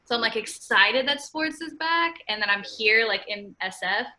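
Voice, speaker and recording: A young woman in her twenties from California talks as a podcast guest, chatty and upbeat, often dropping "like" as she goes. Her voice is bright and clear. The tone is casual and friendly, as in a relaxed conversation. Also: a little high, moderate pace. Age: 20s. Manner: chatty, frequent "like" fillers, upbeat. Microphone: remote call.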